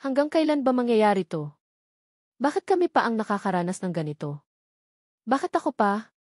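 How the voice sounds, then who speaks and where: A woman's voice: fairly high pitch, expressive, normal speed, a little mellow, no echo, clean studio sound. A woman reading a romance novel aloud as a dramatic first-person narration. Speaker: audiobook narrator.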